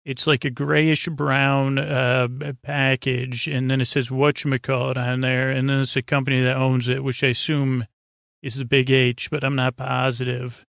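Gravelly, meandering American man. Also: medium pitch, little pitch movement, fairly bright tone, dry recording, clean studio sound. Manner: meandering, halting, trails off mid-thought. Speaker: podcast host. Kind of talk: rambling, low-key monologue for a sleep podcast.

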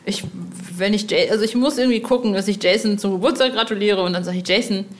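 A woman's voice: medium pitch, fast talker, slight background noise. Playful woman hosting a podcast. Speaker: podcast host. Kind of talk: casual interview chat.

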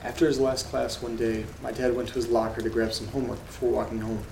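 A man hosting a horror audio drama, reading letters aloud in a quiet, flat, gravelly way. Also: mid-range voice, fast talker. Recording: roomy echo with loud rain noise. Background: rain and thunder.

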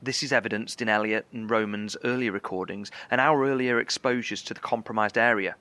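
Male, low and bright. Articulate scientist survivor giving a careful broadcast in a post-catastrophe audio drama. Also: steady tone, no echo. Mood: measured, concerned. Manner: measured, articulate, explanatory. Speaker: narrator of a horror audio drama. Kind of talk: in-character scripted radio broadcast monologue.

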